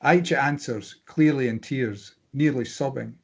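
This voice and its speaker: A man's voice: mid-range voice, measured pace, slightly rough. A man reading stage directions as scripted narration at a drama table read.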